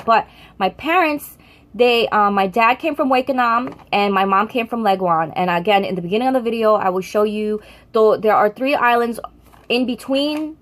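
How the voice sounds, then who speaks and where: A woman's voice: mid-range voice, fairly bright tone. Chatty young American woman vlogger. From United States. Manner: rambling, chatty, frequent self-corrections. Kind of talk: casual talk to camera.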